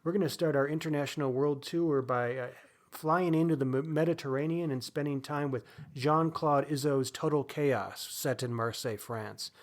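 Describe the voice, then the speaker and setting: A man with a somewhat high-pitched voice co-hosts a book podcast. He speaks casually, in an informal and wry way, as he comments on books and reading plans. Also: moderate pace. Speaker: podcast co-host. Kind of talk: casual book discussion and announcements.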